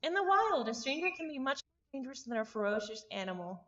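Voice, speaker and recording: A woman streamer reading a visual novel aloud, mixing expressive narration with casual asides. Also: sits high, fast talker, dull audio.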